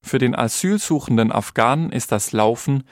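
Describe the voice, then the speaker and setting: Male reporter, mid-pitched voice, scripted radio feature narration. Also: moderate pace, dry recording, clean studio sound.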